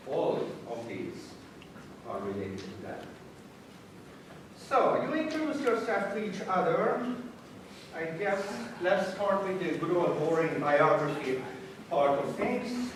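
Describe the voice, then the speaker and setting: A man with a Hungarian accent speaks in a very echoey room, far from the mic. His fairly high voice moves up and down a lot as he gives an informal, halting talk with self-deprecating humor. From Budapest, Hungary. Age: 30s. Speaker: college science teacher giving a talk. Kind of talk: informal autobiographical talk to an audience. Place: echoey indoor room before an audience. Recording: echoey room, distant mic, noisy background.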